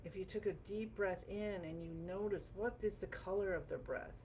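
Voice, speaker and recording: A woman with a gravelly voice guiding a qigong class through movement and meditation in a gentle, encouraging, informal way. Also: medium pitch, steady tone, normal speed. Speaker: qigong teacher. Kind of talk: guided movement and meditation instruction. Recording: clearly noisy background.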